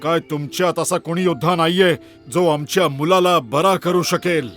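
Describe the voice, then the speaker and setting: A man's voice: fairly high pitch, steady tone, normal speed, a bit bright, soft background music, lightly acted. A man voicing a regal eagle king, a worried father who speaks in a stately, formal way in a children's cartoon. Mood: worried, sorrowful. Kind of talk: animated children's story dialogue.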